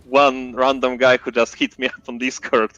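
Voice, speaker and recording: Polish-accented man, smooth dull-toned voice. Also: medium pitch, little pitch movement, sharp tone, dry recording. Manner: halting non-native English, many fillers. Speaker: indie game developer guest. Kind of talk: casual interview chat.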